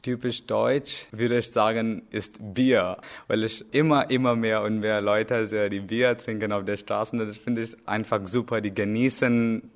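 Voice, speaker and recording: A young man from India with an Indian accent, a native Hindi speaker, talking about learning German and pausing often to search for words. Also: fairly low pitch. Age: young adult. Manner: halting, searching for words with frequent fillers. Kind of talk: personal portrait talk.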